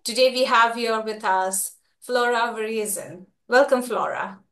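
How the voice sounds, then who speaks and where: A woman hosting an online parenting summit interview on a video call, often pausing with "uh" and "like". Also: a little high, a bit bright. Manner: hesitant, many "uh" and "like". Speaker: host, parenting coach.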